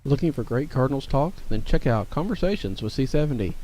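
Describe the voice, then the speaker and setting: A man reads a scripted promo as a podcast host. His voice is mellow and dark, sitting at a middle pitch. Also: animated, fast talker, poor audio.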